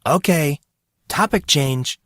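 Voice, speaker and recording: A man's voice: a little high, expressive, takes it slowly, a bit bright, dry recording, studio quality, pitched above the performer's own voice. A man voicing a defensive, exasperated fourteen-year-old boy with an earnest, evasive manner in an audiobook. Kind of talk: audiobook character voice.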